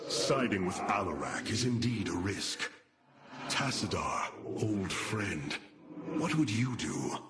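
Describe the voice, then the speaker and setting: A man playing a noble alien warrior leader in a video game, solemn and resolute, with measured, stately, formal delivery. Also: fairly low pitch, animated, very noisy. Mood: solemn, reflective. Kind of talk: dramatic video game voice acting. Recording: loud music behind the voice.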